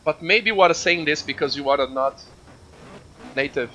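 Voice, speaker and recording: Excitable Brazilian guy streaming, bright voice. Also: a little high, moderate pace, slight background noise. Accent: Brazilian Portuguese. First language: Portuguese. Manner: excitable, chatty, swears freely. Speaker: game streamer. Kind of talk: casual live-stream chat with viewers.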